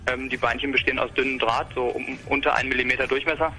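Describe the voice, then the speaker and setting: A man's voice: mid-range voice, level tone, quick pace, bright, forceful tone, soft background music. A young man from Berlin talking casually about a hobby robot project, rambling with many fillers and restarts. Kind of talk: casual technical talk.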